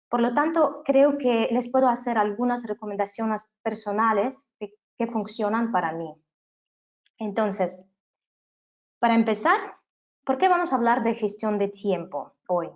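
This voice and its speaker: A woman's voice: on the high side, muffled recording. Canadian woman teaching a webinar in Spanish, non-native. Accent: foreign accent in Spanish. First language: not Spanish. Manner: measured, with fillers and restarts. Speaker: webinar presenter. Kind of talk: online masterclass talk. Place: home office.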